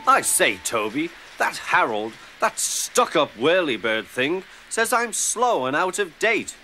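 A man puts on a cheeky little storybook tank engine, proud and easily put out. The talk is huffy and boastful, with lively jumps in pitch. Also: unhurried, over music, rough recording. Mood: indignant fuming.

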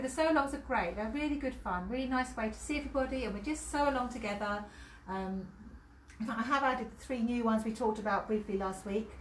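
A middle-aged British woman, hoarse and losing her voice, hosting a chatty live sewing stream. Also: a little high, roomy sound, a little hiss or hum, low-quality recording. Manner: chatty, warm-hearted and laughing between remarks. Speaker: sewing teacher and host. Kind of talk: casual live-stream chat. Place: hexagon-shaped sewing room, indoors.

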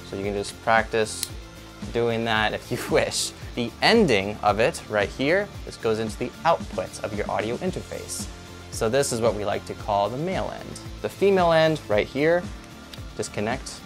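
A young man hosting a casual music-gear tutorial, chatty and upbeat, recorded in a small room. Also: mid-range voice.

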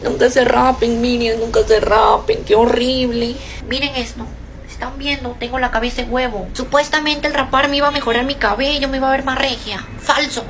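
A woman's voice: high-pitched, steady tone, fast talker, a bit bright, slight background noise. A woman giving a casual, comic rant to camera.

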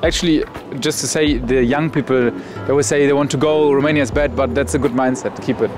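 A man asking passers-by short questions on a city street in a quick, upbeat way. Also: medium pitch, steady tone, over music. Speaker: street interviewer. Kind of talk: casual street interview questions.

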